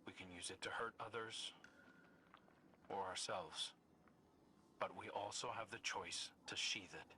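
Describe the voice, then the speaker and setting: Thoughtful comrade-in-arms for a game, low register, earnest and quietly confident, noisy capture. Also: male voice, steady tone, a little slow, long pauses. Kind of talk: video game voice acting.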